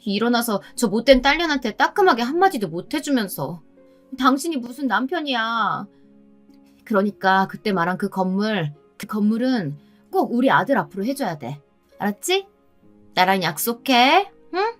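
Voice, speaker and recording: Scheming mother, middle-aged, for story drama female narrator acting it. Raised pitch, theatrical, wheedling and imperious. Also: big pitch swings, moderate pace, faint music behind. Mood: whiny, wheedling. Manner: theatrical, whiny coaxing turning to haughty commands.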